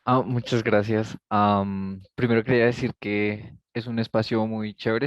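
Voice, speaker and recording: Low-voiced man explaining on a call. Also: no room sound, highs cut off. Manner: thoughtful, explanatory, halting. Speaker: online event attendee. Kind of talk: discussion comment in a video call. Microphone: video call.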